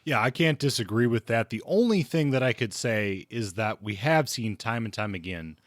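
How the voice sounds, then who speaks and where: A man's voice: mid-range voice, expressive, moderate pace, no echo, studio quality. A man co-hosting a book podcast, explaining background in a casual way and often circling back to rephrase his points. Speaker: podcast co-host discussing books.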